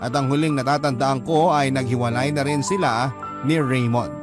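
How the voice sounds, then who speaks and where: A man's voice: mid-range voice, steady tone, moderate pace. A man reading a confessional letter aloud as first-person dramatic radio narration. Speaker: radio story reader. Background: background music.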